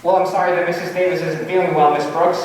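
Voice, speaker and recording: A man's voice: high-pitched, level tone, quick pace, a little hiss or hum, natural voice. A man playing an earnest, polite, mild-mannered suitor in an amateur radio comedy. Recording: echoey hall from far off.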